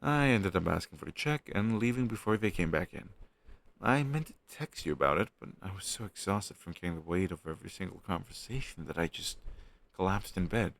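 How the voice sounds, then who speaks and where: A man acting as a playful, teasing young best friend in a casual, expressive roleplay chatting directly to the listener. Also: low voice, fairly quick, gravelly, full character voice. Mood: exasperated venting. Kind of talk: roleplay audio drama, intimate casual chat with listener.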